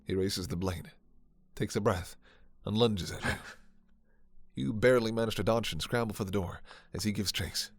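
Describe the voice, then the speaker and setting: Low, gravelly male story narrator. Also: normal speed, no room sound. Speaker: narrator and performer. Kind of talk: second-person scripted story narration.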